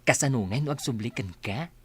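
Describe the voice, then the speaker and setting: A man playing a gossipy, excitable best friend in a radio drama, with a teasing, exclamatory delivery. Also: mid-range voice, fairly quick, husky, natural voice. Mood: teasing, encouraging.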